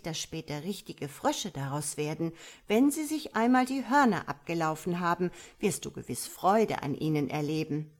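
Woman as a thoughtful starling, lightly high-pitched. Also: a little mellow. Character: thoughtful, politely consoling male starling, easygoing storybook bird.

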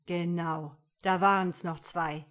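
A woman voicing a surly, cocky male cop with a gruff, vulgar, dismissive manner in an audiobook. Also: on the low side, fairly even intonation, slow, a bit bright. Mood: smug.

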